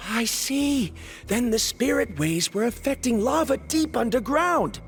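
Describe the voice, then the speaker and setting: An actor playing a precise, analytical young scholar in a video game, explaining things in a mildly exasperated way, with faint game music behind. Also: male voice, very high-pitched, lively intonation, a little slow, exaggerated voice.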